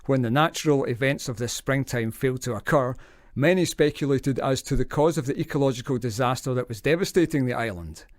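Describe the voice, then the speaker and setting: An actor playing a formal radio newsreader in an audio drama, reporting in a scripted bulletin style. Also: male voice, medium pitch, fairly quick, no room sound, studio quality, close to an everyday voice. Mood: brisk, formal. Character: formal male radio newsreader, easily flustered. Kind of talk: news reading in audio drama.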